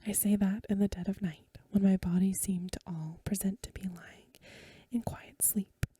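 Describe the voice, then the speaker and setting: A woman reading aloud in a hushed, measured, breathy whisper, in the soft-spoken style of a bedtime ASMR recording. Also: medium pitch, fairly even intonation, no room sound.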